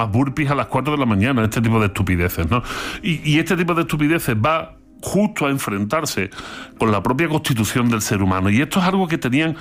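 A man from Andalusia with an Andalusian accent, explaining philosophy in a lively talk with playful, theatrical asides. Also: medium pitch. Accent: Andalusian Spanish. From Andalusia, Spain. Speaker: philosophy commentator.

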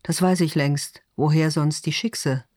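A man's voice: a little high, steady tone, measured pace, a little mellow, dry recording, studio quality, natural voice. A man voicing a curt, defiant teenage schoolgirl in audiobook dialogue. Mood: dismissive.

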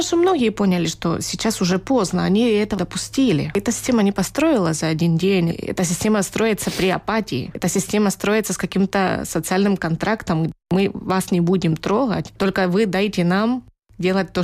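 A woman's voice: mid-range voice, brisk, darkish tone. A woman writer from Ukraine answering interview questions in a reflective, personal, conversational way, repeating phrases for emphasis.

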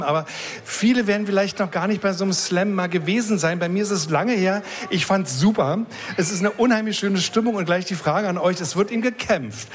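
A man hosting a radio interview, rambling and often restarting his sentences. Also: high voice. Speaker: radio host.

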